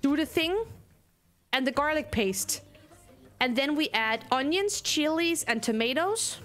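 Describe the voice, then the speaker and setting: A young Danish woman with a Danish accent, streaming a game and commenting in an animated, ranting way, reading lines aloud as she plays. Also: high-pitched, unhurried, soft background music. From Denmark. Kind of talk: let's play commentary.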